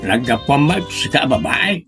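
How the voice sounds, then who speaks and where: A man playing a cranky, blustering old village father with an Ilocano accent in a comedic audio drama, husky and argumentative. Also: medium pitch, lively intonation, unhurried, loud music behind the voice. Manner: argumentative, exclamatory.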